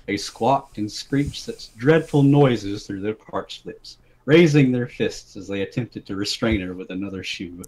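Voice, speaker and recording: A man's voice: lowish voice, measured pace, few pauses, darkish tone, rough recording. Man giving a theatrical story reading. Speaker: reader of the story. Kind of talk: dramatic reading of absurd comic story.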